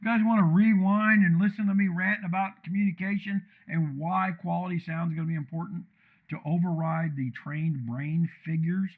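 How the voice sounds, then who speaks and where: Blunt man with a deep commanding voice. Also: fairly even intonation, normal speed, narrow, tinny audio. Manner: blunt, loud, rambling. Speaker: streamer tuning his microphone. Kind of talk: casual live-stream rant. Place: untreated room with echo and a computer fan.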